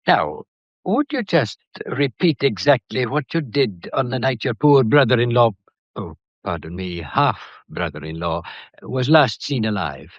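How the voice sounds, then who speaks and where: A slightly muffled, dry recording of a radio mystery. an avuncular older police inspector, folksy and fussy, whose lively melody keeps probing under the geniality. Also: male voice, mid-range voice, a little slow, no room sound. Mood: genial, teasing. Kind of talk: radio drama acting.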